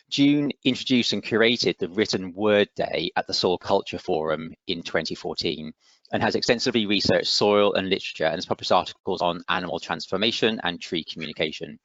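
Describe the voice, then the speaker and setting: A man hosting an online event, reading a formal introduction in a measured way over a video call. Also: sits low, fairly bright tone, dry recording. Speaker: event host introducing speakers. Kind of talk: formal scripted webinar introduction. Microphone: online video call microphone. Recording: dull lo-fi video call audio.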